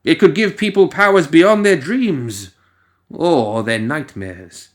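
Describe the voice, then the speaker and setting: Slow, theatrical male storyteller, swooping pitch. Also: animated. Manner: theatrical, ominous, lingering pauses. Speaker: story narrator, reader. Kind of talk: scripted dramatic storytelling narration.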